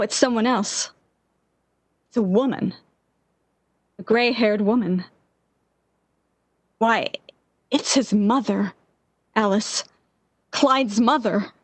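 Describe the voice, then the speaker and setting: A woman acts out an obsessive, lovesick young woman from a 1940s radio play. Her voice is fairly high and slow, full of melodramatic yearning, and recorded close and dry. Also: dry recording. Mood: longing, breathless longing. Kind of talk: 1940s radio drama acting.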